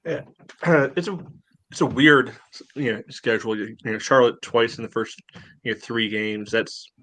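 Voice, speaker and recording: A man's voice: medium pitch, steady tone, normal speed, fairly bright tone. A man co-hosting a sports podcast, talking bluntly and sarcastically with lots of filler words. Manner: blunt, sarcastic, many "you know" fillers. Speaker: podcast co-host. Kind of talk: casual sports podcast chat.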